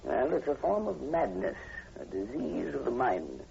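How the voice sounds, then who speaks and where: Gravelly, probing radio-play professor. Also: male voice, mid-range voice, wildly swooping pitch, mellow, heavily acted. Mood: grave, explanatory. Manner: measured, hesitant, professorial. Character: inquisitive, scholarly male professor investigating a strange killing. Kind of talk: old-time radio drama acting. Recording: muffled, noisy vintage radio recording.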